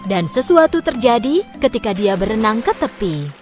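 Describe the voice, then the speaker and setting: A woman tells a children's fairy tale as a storyteller. Her voice is fairly high and very animated, with clearly phrased, expressive reading over light background music. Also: moderate pace.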